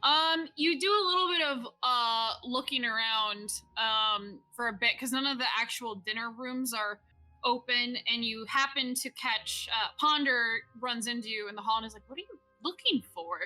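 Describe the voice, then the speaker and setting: A woman narrates as game master, recapping the last session and then chatting with her players. Her voice sits fairly high and is bright. She talks in a loose, chatty way and laughs easily. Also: moderate pace, faint music behind, poor audio. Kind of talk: recap narration and casual play.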